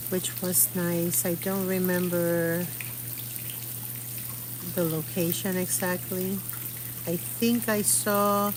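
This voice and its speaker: Slow-talking middle-aged woman on a noisy live stream. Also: mid-range voice. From Puerto Rico. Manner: rambling, searching for words. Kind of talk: casual chat while painting. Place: outdoors under an umbrella.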